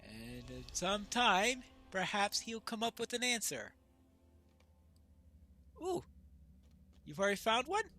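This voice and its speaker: A man's voice: sits high, very animated, takes it slowly, long pauses, sharp tone. A man hosting a game playthrough, reading the story text aloud as narration. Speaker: gamer, playthrough host. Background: game music.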